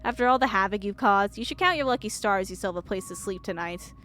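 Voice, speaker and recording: A woman's voice: medium pitch, fast talker, a bit bright, slight background noise, lightly acted. A woman voicing a curt, weary, guarded woman from a story game, terse and dismissive. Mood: irritated.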